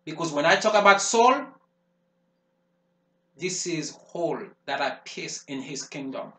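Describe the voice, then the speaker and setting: Fervent preacher. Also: male voice, fairly high pitch, expressive, measured pace, a bit bright, a little hiss or hum. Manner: emphatic, repetitive preaching cadence. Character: fervent male preacher warning of the devil. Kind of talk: sermon. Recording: roomy echo.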